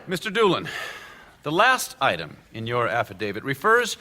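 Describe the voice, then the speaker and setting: An actor playing an impatient defense lawyer who presses a witness and objects to the delay. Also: male voice, mid-range voice, wildly swooping pitch, normal speed, bright, forceful tone, slight background noise, full character voice. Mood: pressing. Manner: indignant, pressing.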